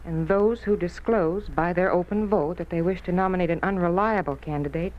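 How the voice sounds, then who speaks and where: An actress playing a deferential office secretary in a 1950s radio drama, polite and hesitant. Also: female voice, on the low side, fairly quick, lightly acted. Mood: hesitant, puzzled. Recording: muffled, noisy old radio recording.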